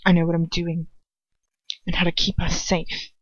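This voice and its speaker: A woman's voice: fairly low pitch, level tone, moderate pace, no room sound, muffled recording, natural voice. A woman voicing a cold, arrogant, menacing wizard father in a story reading, with a controlled, venomous mutter. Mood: steely threat.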